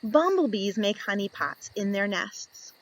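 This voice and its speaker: A woman reading a children's picture book aloud, slow and lively, lifting into high voices for the questions. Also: no room sound, poor audio. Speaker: storybook reader.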